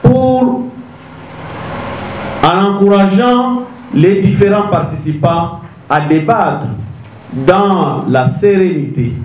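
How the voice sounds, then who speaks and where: A man with a Congolese accent reading a solemn, halting formal opening speech as an official. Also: on the high side. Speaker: government official opening a conference. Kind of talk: formal speech read to an audience. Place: meeting hall. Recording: echoey room, dull sound, faint noise.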